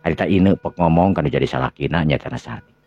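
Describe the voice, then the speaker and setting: Man, Sundanese accent, extremely low voice, husky, radio-style storytelling, dull lo-fi sound, music behind. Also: fast. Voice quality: gravelly, husky. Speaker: storyteller and channel host.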